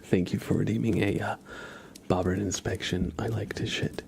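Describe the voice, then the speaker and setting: A man's voice: fairly low pitch, steady tone, moderate pace, vocal fry, a little mellow, a little hiss or hum. A man hosting a live ASMR stream, chatting to viewers with playful, murmured role-play patter. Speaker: livestream host.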